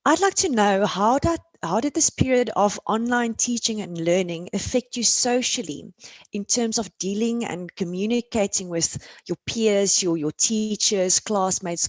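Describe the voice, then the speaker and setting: A woman's voice: mid-range voice, moderate pace, no echo. A woman from South Africa with an Afrikaans accent, moderating an online panel discussion over a video call in an encouraging way, often repeating words as she starts.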